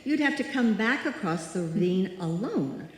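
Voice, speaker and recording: A woman's voice: mid-range voice, sing-song, moderate pace, close to an everyday voice. A woman playing a stubborn, proud single woman who puts on bravery, in live old-time radio suspense style. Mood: firm, impatient. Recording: echoey hall, music behind.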